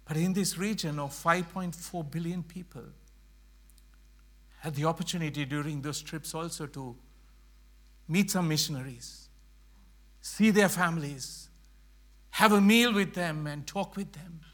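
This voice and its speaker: A middle-aged man from Sri Lanka giving an earnest church presentation, slowing down to stress key phrases. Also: a little high, fairly bright tone. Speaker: mission speaker.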